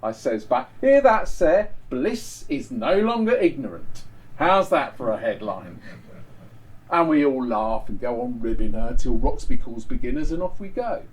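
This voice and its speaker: A man's voice: medium pitch, very animated, a little slow, poor audio. Man playing a cheeky, gossipy young Victorian dancing girl. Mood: teasing. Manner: colloquial, sardonic, theatrical storytelling. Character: cheeky, gossipy young Victorian woman dancer telling a tale. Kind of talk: first-person dramatic monologue reading.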